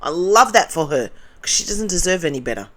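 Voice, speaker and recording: A middle-aged Australian woman with a lowish, nasal, croaky morning voice. She reads out viewer comments in a casual vlog and answers them with blunt, sarcastic asides. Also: animated, a little fast, a bit bright. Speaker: commentary vlogger.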